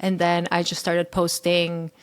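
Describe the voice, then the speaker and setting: A woman's voice: fairly low pitch, monotone, measured pace, clear, resonant tone. Guest woman from Croatia, thirties, remote call, easygoing and frank. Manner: chatty, candid storytelling. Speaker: podcast guest, content creator. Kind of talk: casual interview chat. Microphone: remote video call.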